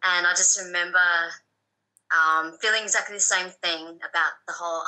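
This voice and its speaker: A woman in her thirties, born in Thailand and raised in Australia, speaks. Her voice sits a little low but has a very bright, sharp edge. She talks softly and hesitantly, pausing often as she recalls her story. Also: fairly even intonation, rough recording. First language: Thai. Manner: soft-spoken, hesitant with frequent ums. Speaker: guest. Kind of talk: personal story interview.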